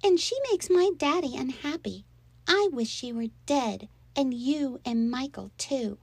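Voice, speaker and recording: A woman's voice: high-pitched, expressive, measured pace, gravelly, a little mellow, husky, no room sound, pitched above the performer's own voice, exaggerated voice. A woman voicing a fierce, spiteful and tearful little girl in an audiobook story. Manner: screaming, sobbing, threatening.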